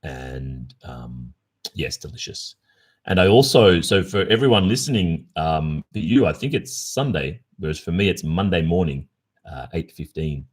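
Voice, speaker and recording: A man's voice: low voice, lively intonation, measured pace, husky. An Australian man hosting a casual livestream Q&A, chatting informally and reflectively. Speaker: ASMR roleplay creator and livestream host.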